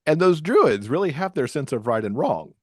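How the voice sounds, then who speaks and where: A man's voice: on the high side, very animated, normal speed, no echo, clean studio sound. A man speaking as a podcast guest and author in casual conversation. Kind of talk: casual chat.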